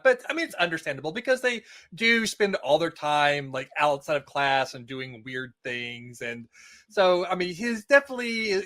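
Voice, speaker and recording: A man with a sharp, forward brightness and a somewhat high pitch. He talks casually, like a guest on a podcast chatting through a show. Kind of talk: casual chat, TV episode discussion.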